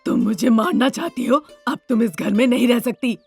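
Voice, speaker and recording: A woman's voice: fairly high pitch, moderate pace, gravelly, a little mellow, slightly rough, soft background music. A woman voicing a greedy, cruel middle-aged mother-in-law in a cartoon story, scolding and conniving. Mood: angry, accusing. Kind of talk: Hindi cartoon story dubbing.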